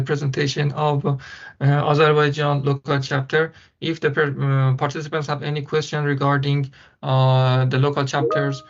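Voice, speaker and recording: A man hosting a webinar, introducing events and speakers haltingly with many filler sounds over a video call. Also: on the high side, vocal fry. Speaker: webinar host. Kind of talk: hesitant event moderation. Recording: dull lo-fi web call audio.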